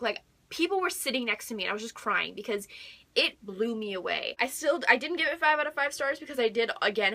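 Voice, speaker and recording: Young American female book vlogger; high-pitched, bright, excitable and chatty, with emphatic repetition. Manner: gushing, emphatic, repeats words for emphasis. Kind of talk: casual excited chat to viewers.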